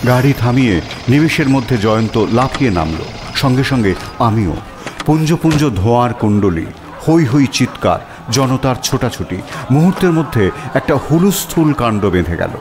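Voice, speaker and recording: A man's voice: medium pitch, very animated, normal speed, background noise, natural voice. A man playing a detective's companion who narrates the mystery adventure as dramatic audio storytelling. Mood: suspenseful.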